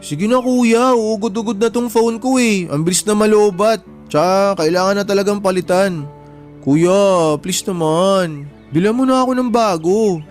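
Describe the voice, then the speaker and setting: Boyish, high-voiced Filipino little brother, man acting. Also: moderate pace, a little mellow, faint music behind, natural voice. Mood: wheedling. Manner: boyish, earnest. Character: affectionate younger brother Dylan, boyish, grateful and pleading. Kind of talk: Filipino radio drama acting.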